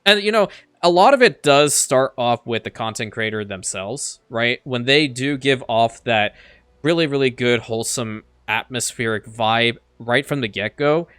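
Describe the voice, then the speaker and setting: A man's voice: mid-range voice, expressive, moderate pace, fairly bright tone, clean tone, no echo. A man whose first language is Farsi, chatting casually as he plays a city-building game, often repeating a word as he restarts a sentence. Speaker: let's play creator. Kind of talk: casual gameplay commentary.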